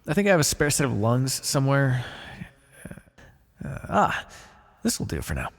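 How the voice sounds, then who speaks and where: Gravelly deadpan necromancer, acted by a man in reverb. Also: medium pitch, heavily acted. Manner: deadpan, muttering to himself in thought. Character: blunt, callous male necromancer, wryly businesslike. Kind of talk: ASMR fantasy roleplay monologue. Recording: long reverberant hall echo.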